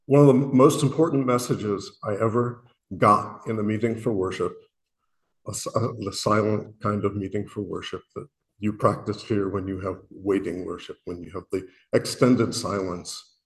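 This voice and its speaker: A man from Illinois with a booming voice, speaking earnestly and self-deprecatingly to a worship meeting, often pausing and restarting his sentences. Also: fairly even intonation. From Illinois, USA. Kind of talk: reflective spoken message.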